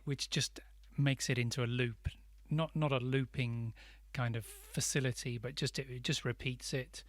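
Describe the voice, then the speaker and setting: A man narrating a step-by-step tutorial as an instructor, in a measured, explanatory way. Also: medium pitch, fairly bright tone, no room sound.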